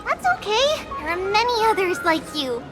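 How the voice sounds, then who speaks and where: A woman's voice: very high voice, big pitch swings, moderate pace. A woman voicing a tiny, cheerful childlike girl priest in a video game, bubbly, exclamatory, helpful and proud. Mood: delighted. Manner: bubbly, exclamatory, speaks of herself as "we". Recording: music behind the voice.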